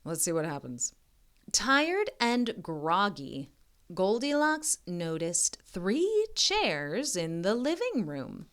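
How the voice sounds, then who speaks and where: A woman reading a children's picture book aloud in an expressive, teacherly way, with chatty asides to her listeners. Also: mid-range voice, slow pace.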